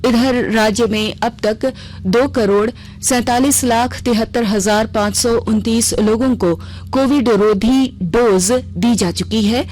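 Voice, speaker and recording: A woman's voice: fairly high pitch, a little mellow, slight background noise, poor audio. A woman reading a scripted regional news bulletin on the radio as the news reader.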